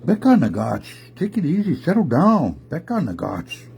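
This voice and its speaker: A man's voice: fairly high pitch, huge pitch swings, moderate pace, gravelly, darkish tone, husky, pitched above the performer's own voice, exaggerated voice. A man voicing a big, jolly, peace-loving bear in an oral story, easygoing and protesting in casual slang. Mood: exasperated.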